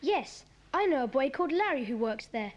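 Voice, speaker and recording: A woman playing an eager, adventurous schoolboy in a children's audio drama, earnest and excitable, on a noisy cassette recording. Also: high voice, fairly quick, dull audio.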